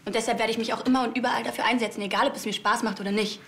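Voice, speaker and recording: Quick-talking earnest young woman reporter. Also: a little high, fairly even intonation, gravelly, a bit bright. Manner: earnest, principled. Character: earnest young female newspaper journalist.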